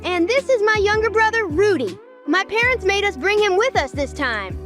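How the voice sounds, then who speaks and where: A woman plays a matter-of-fact cartoon kid with a very high voice. The kid explains things neatly and helpfully, over faint music. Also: lively intonation, normal speed, a bit bright. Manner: tidy, explanatory. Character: helpful, matter-of-fact kid who flies model planes. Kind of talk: cartoon comic dub acting.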